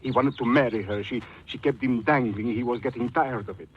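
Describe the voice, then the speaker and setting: A man's voice: medium pitch, a little fast, close to an everyday voice. An actor playing a formal, hesitant businessman client, polite and evasive, in 1940s radio drama style. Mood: guarded, earnest. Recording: muffled 1940s radio, light noise.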